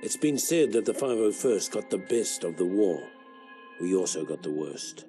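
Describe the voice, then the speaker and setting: An actor playing a world-weary veteran clone soldier, narrating his war memories in a measured, solemn way for a video game. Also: on the low side, expressive, warm tone, faint music behind, lightly acted. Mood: somber, haunted. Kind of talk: scripted narration, military memoir.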